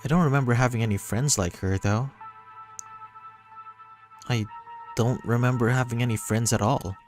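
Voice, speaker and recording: A man reading a visual novel as dramatic first-person narration, pausing between short phrases. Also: medium pitch. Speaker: reader voicing a visual novel. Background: music.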